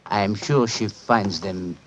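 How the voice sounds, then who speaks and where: An actor playing an affable, gossipy French police inspector in a 1940s radio drama, courteous and hesitant, with a French accent. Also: male voice, fairly low pitch, unhurried, a little hiss or hum, natural voice. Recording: dull vintage radio recording.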